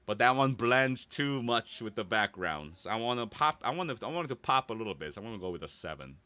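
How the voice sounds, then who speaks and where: A man's voice: medium pitch, sing-song, quick pace, bright, forceful tone. A man giving a casual, playful tutorial, thinking aloud as he goes and often checking in with the listener. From Korea or United States. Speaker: voice actor giving a website tutorial.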